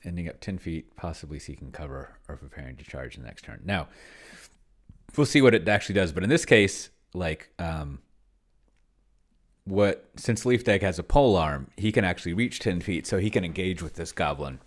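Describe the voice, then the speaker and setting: A man's voice: low voice, lively intonation, moderate pace. A man doing casual screen-share commentary on a tabletop game, thinking aloud and muttering to himself in a rambling way. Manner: rambling, muttering to himself, reads out text and what he types. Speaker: solo tabletop game player and streamer.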